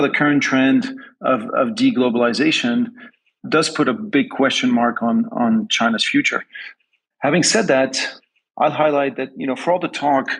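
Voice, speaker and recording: A man's voice: mid-range voice, poor audio. A man from France with a slight French accent, answering interview questions thoughtfully and often repeating words as he thinks aloud. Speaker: guest investment strategist.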